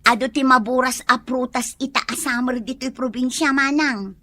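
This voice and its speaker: High, fussy Ilocano provincial woman. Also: fairly even intonation, moderate pace, bright, forceful tone, no room sound. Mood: chatty. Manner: exclamatory, full of sighing "ay". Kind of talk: Ilocano comedy radio drama acting.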